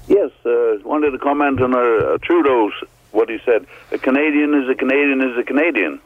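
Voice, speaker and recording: A man's voice: mid-range voice, normal speed, no room sound. An older man with a foreign accent calling a radio phone-in show, plainspoken and skeptical. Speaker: caller. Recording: tinny phone line.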